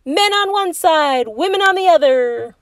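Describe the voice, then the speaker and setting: A woman's voice: extremely high pitch, expressive, a little slow, no echo, clean studio sound, voice pushed up. A woman voicing a boisterous, playful father who hollers in a children's storybook.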